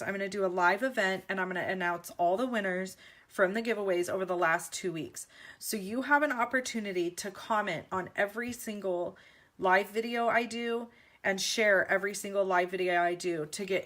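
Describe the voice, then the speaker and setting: Woman host of a home craft video, chatty and gushing, slight rasp, lots of fillers. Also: medium pitch, a bit bright. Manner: chatty, many ums, gushing. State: slightly raspy from allergies. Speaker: stamping demonstrator. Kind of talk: casual craft video chat. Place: indoor craft table. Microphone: overhead camera on hands, speaker off-screen.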